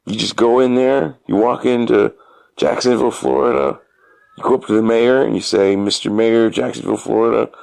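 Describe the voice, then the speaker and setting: A man giving a mock-announcer podcast intro and sponsor plug in a halting, theatrical, absurdly comic manner. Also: mid-range voice, fairly even intonation, creaky, darkish tone, poor audio. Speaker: guest caller giving a show intro.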